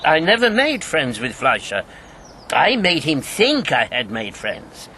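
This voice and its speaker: An actor playing a guarded, wry older former wartime radio agent in a radio drama, with a terse delivery. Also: male voice, fairly high pitch, huge pitch swings, unhurried, sharp tone, slight background noise, heavily acted. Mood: defensive. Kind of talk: naturalistic radio drama acting.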